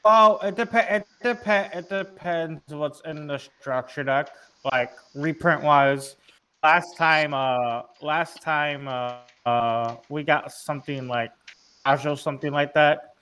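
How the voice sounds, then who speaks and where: A man's voice: fairly high pitch, slow pace, bright, forceful tone, clean tone. A man chatting casually about card games, blunt and sweary, often repeating himself. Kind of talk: casual card game chat. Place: online group voice call.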